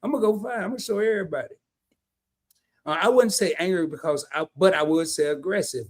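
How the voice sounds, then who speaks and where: A man with a slightly raised voice talks loosely on a live stream, reading out viewer comments. He swings into animated stories and fast asides, keeping the tone casual and chatty. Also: high voice. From family from Arkansas and Chicago, lived in California. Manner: animated storyteller who drops into quick asides and reads comments in voices. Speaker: co-host of a live discussion stream.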